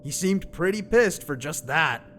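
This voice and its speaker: Cocky teen creature played by a man, hot-tempered, brash, higher-set voice with lively swings, audio drama. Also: unhurried, a little hiss or hum. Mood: probing. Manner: brash, sarcastic, swearing. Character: cocky, hot-tempered teenage boy, a clawed furry animal.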